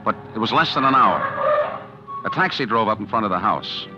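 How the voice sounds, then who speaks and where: A man's voice: on the low side, lively intonation, brisk, natural voice. A man playing a cool, scheming killer narrating. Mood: brooding. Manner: hard-boiled, confiding, with nervous stammers. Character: cool, calculating man plotting to poison his wife. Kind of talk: first-person narration in 1940s radio acting. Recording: dull 1940s radio recording with music underneath. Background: music.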